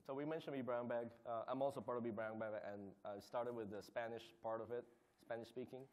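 A male panelist describes his volunteer community work in an energetic, conversational manner. He speaks quickly at a middle pitch. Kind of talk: conference panel talk.

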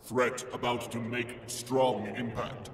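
A man voicing a calm, obedient AI machine in a science fiction story, with a measured, emotionless delivery and a robotic effect. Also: mid-range voice, noisy background. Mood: calm, detached. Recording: long reverb, music behind.

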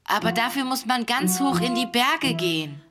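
A woman's voice: a little high, expressive, moderate pace, close to an everyday voice. Lady doing a sly little cartoon boy, boastful, very swoopy delivery, music behind. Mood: sly, mock-sweet. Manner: sly, mock-sweet, boastful. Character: scheming, cheeky young boy showing off. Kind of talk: animated children's cartoon dub.

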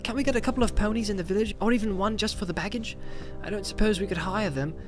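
Young hobbit, acted by a male reader, audiobook: raised pitch, doubtful, anxious, music behind. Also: quick pace, natural voice. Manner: pleading, doubtful. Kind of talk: dramatized audiobook acting.

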